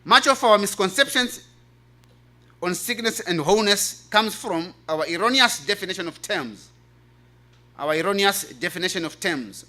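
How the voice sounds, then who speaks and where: A man's voice: high voice, bright, forceful tone. A man with an African accent giving an earnest, emphatic conference presentation in a preaching style, repeating key phrases. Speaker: presenter reading a paper at a church health forum.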